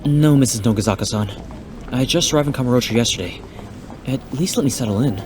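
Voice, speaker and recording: A man's voice: medium pitch, fast, lightly acted. A man acting as a polite young hero with a mid-range voice and an easy, casual delivery. It is fan audio drama dialogue with faint noise behind. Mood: reassuring. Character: calm, reassuring young man, hero of martial arts drama.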